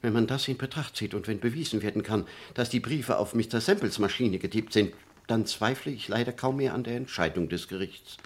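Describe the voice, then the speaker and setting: Sober middle-aged attorney for radio drama played by a man: fairly deep voice, dry, exact, matter-of-fact. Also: lightly acted. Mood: sober, concerned. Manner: measured, dry, explanatory. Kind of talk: German radio play acting.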